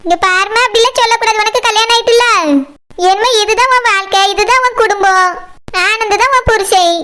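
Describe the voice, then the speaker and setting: Woman playing a shrill, bossy village elder sister. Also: fairly even intonation, a little fast, bright, forceful tone. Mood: firm coaxing. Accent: Kanyakumari Tamil. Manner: scolding, threatening, lecturing. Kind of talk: village comedy-drama cartoon dialogue.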